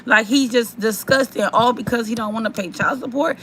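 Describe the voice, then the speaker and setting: Hoarse young woman, slightly high voice, casual rambling vlog chat to viewers. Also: a little fast, background noise. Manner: chatty and rambling, addresses viewers directly. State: hoarse, voice mostly gone. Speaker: vlogger.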